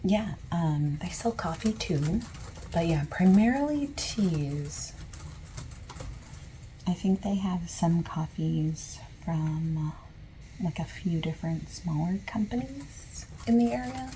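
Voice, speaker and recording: Slow, soft-spoken woman in gentle ASMR roleplay. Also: on the low side, expressive, slight background noise, rough recording. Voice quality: soft, often dropping into whispery breathiness. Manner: gentle, unhurried, reassuring customer-service talk. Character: friendly, patient used bookstore clerk. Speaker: ASMR roleplayer as used bookstore clerk.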